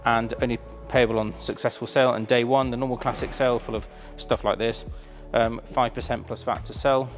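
A man's voice: medium pitch, little pitch movement, brisk, hardly any pauses, faint music behind. A man, chatty and enthusiastic, presenting a car auction preview in a large warehouse showroom. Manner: enthusiastic, chatty, filled with ums.

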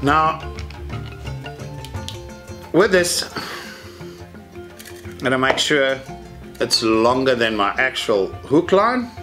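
A man's voice: medium pitch, big pitch swings, very slow, long pauses, music in the background. A middle-aged man, teaching a fishing rig step by step in a practical, matter-of-fact way from an indoor tackle room. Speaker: fishing instructor. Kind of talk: hands-on tutorial.

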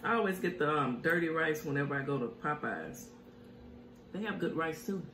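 A woman's voice: sits low, animated, normal speed, fairly bright tone. A middle-aged woman, chatting casually as she eats and hums over her food while hosting a home cooking show. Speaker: mukbang cooking show host. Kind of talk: casual chat while eating. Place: home kitchen, indoors. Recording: echoey room with faint hiss.

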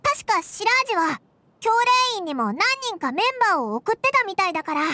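A tiny floating fairy with a very high, bright, squeaky voice. The little companion is cheeky and chatty in brash, boyish words, bouncing around in pitch like an anime game mascot. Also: female voice, very animated, brisk, no room sound, exaggerated voice. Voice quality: squeaky, childlike. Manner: brash, boyish informal speech. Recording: soft music behind. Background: music.

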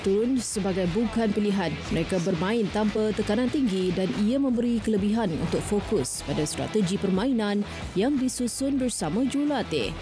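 Brief: young female sports anchor, even mid pitch, formal studio read with background music. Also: normal speed. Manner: steady, formal delivery. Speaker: sports news presenter. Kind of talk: scripted news reading. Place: TV news studio. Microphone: studio mic. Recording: news music bed underneath.

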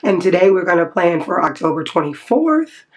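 A woman with a raspy voice from the flu, chatting casually as she walks through her planner and self-corrects as she goes. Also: lowish voice, big pitch swings, moderate pace. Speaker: planner vlogger. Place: indoors at a desk, natural light.

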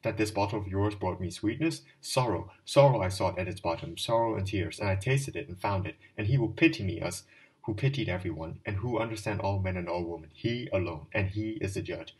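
Ragged drunk, middle-aged man, fervent and pleading, as a male reader puts him on; lowish voice, mic set back. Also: brisk, a little mellow, far from the mic, close to an everyday voice, rough recording. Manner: fervent, rambling confession. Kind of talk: audiobook dialogue reading.

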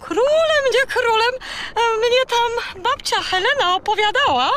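A chatty, cheeky lady seabird from a children's radio story. The voice is piercingly high and drawn out, with a brash know-it-all manner. There is faint noise behind it. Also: unhurried, fairly bright tone. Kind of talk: children's audio play acting.

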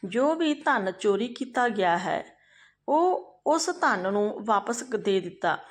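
Stern folk-tale king, proud and commanding, read by a woman with a fairly high voice.

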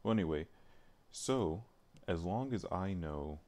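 Very deep-voiced man, casually thinking aloud. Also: expressive, a little slow, dark tone. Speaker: live streamer working on a game mod. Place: indoors at a computer, keyboard typing.

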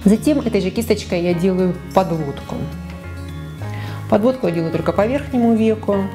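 A woman's voice: medium pitch, moderate pace, over music. A woman in her forties talking frankly to camera about her makeup in a small living room, on a lapel mic, with many fillers and self-corrections. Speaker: beauty vlogger. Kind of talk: casual makeup talk to camera.

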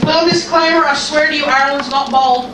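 A woman's voice: high-pitched, fairly even intonation, brisk. A woman chatting and giggling with friends while she visits their home. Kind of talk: casual chat. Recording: distant echoey noisy room.

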